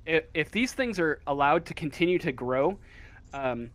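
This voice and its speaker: A man's voice: on the high side, expressive, moderate pace, a bit bright. A man improvising narration as game master of a tabletop game, hesitant and often searching for words.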